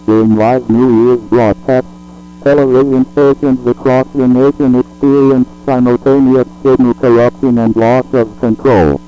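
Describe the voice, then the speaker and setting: Robotic tts guy reading an emergency broadcast, formal and flat, muffled lo-fi sound w music under it. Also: mid-range voice, normal speed, a little hiss or hum. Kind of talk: scripted emergency alert announcement. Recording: dull lo-fi audio with music.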